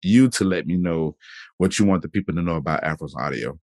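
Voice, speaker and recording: A man with a Jacksonville, Florida accent hosts a podcast, talking in a relaxed way with lots of fillers and restarts. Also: sits low, fast, no echo, studio quality. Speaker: podcast host. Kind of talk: casual interview intro.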